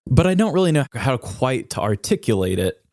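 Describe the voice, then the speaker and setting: A man's voice: mid-range voice, big pitch swings, fairly quick, darkish tone, no echo, clean studio sound. A man co-hosting a podcast, talking in an earnest, conversational way and searching a little for his words. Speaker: podcast co-host. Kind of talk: personal, conversational podcast talk.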